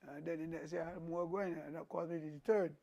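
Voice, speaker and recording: A man's voice: a little high, quick pace, creaky. An older man, a calypso singer telling rambling stories in an interview. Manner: rambling, animated storytelling with repeated restarts. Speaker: calypsonian guest. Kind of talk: casual interview storytelling.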